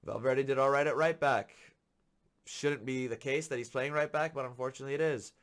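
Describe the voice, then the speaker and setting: A man hosting a football podcast, ranting with frustration and repeating his points for emphasis, a little hoarse from being sick. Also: mid-range voice, steady tone, brisk. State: slightly hoarse from illness. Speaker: podcast host. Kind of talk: solo football commentary rant.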